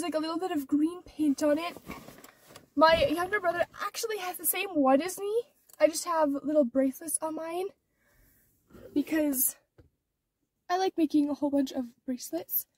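Very high-voiced stuffed-up teenage girl. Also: dry recording, far from the mic. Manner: rambling, many "like" and "or whatever" fillers. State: stuffed-up nose from allergies. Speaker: vlogger. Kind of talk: casual vlog chat. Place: inside her car.

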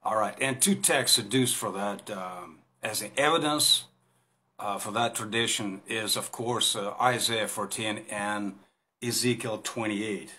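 A man's voice: fairly low pitch, unhurried, sharp tone. Casting: Russian-accented man in his fifties, hesitant Bible teacher speaking to a phone in a small room. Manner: hesitant, many fillers and restarts, gestures while talking. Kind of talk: informal recorded Bible talk. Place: small indoor room against a plain wall. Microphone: phone camera at arm's length.

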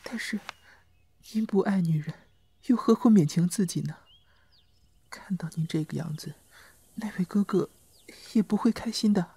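A performer playing a meek, devoted young male servant in a Chinese costume audio drama, soft, timid and pleading. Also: medium pitch, normal speed, pauses often, husky. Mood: timid, tender.

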